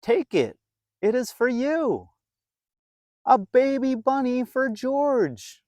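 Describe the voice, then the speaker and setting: A storyteller voicing an excited, generous young boy from a picture book, exclaiming eagerly. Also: sits high, slow, no room sound, studio quality, pitched above the performer's own voice, exaggerated voice. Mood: generous, glad.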